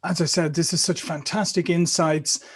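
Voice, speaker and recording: A man's voice: on the high side, fairly even intonation, moderate pace, slightly rough. A man hosting and wrapping up an online panel on a video call, upbeat and thanking guests. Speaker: host. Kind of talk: panel moderation.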